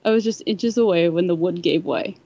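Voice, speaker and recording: A woman's voice: lowish voice, fairly quick, warm tone, no room sound, muffled recording. A woman reading a first-person story aloud on a podcast, sometimes stumbling over the words. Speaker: fan fiction podcast reader.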